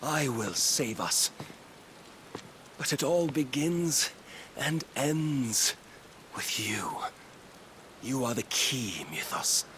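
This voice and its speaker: An actor playing a fanatical, grandiose villain king who preaches salvation in deliberate, archaic oratory for a fantasy game cutscene. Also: mid-range voice, big pitch swings, fairly bright tone, a little hiss or hum, heavily acted. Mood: fervent, rapturous.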